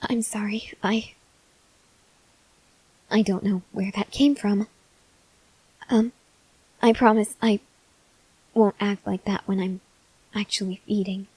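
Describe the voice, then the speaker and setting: A woman playing a gentle, awkward, hungry female vampire in an ASMR roleplay, speaking softly and hesitantly with breathy near-whispers and tender reassurance. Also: medium pitch, steady tone, slow, long pauses, poor audio. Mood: hesitant, apologetic. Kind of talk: ASMR roleplay, intimate address to the listener.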